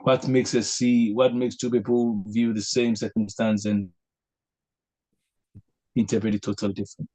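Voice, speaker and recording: A man hosting a reflective spiritual discussion over a video call, earnest and posing long searching questions with many pauses. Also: lowish voice, level tone, mellow, rough recording. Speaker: host leading a discussion.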